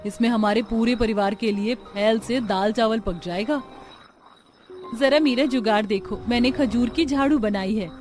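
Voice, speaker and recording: A woman plays a young village bahu in a cartoon story. Her voice sits fairly high, and she speaks earnestly and respectfully, explaining things practically. Light music plays behind her. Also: moderate pace, a little mellow, close to an everyday voice. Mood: proud. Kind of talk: Hindi cartoon story dialogue.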